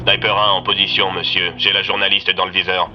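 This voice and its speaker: This is a man acting a dutiful male sniper reporting tersely by radio. The low voice is muffled and reverberant, with music clearly behind it. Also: little pitch movement, brisk. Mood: terse, focused. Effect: radio filter.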